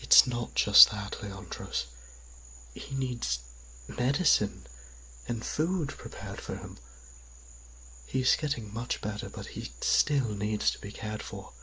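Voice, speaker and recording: A gentle, caring vampire priest, played by a man in an audio drama. He speaks slowly and earnestly, with a bright, clear-edged tone and little melody. Faint insect-like ambience sits behind the voice. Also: lowish voice, slight background noise, low-quality recording. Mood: worried. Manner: soft-spoken, earnest, careful phrasing. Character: gentle, devoted male vampire priest, anxious caretaker. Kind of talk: audio roleplay drama acting.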